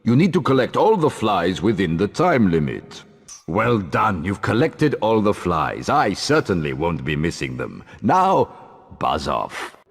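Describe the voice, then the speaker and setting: Husky, low magical shopkeeper. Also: male voice, wildly swooping pitch, a little slow, big-room sound, full character voice. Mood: courteous, dry. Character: dry male pet-shop keeper in a wizard world. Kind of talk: video game character acting.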